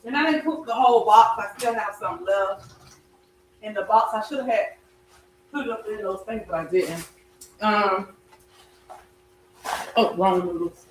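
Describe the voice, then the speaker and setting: A middle-aged woman chatting casually as she cooks, her voice slightly raspy as it recovers. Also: medium pitch, a little slow, long pauses, echoey room, a little hiss or hum, low-quality recording. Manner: chatty, warm greetings and blessings to viewers. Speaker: health coach and vlogger. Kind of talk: casual cooking vlog chat. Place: home kitchen.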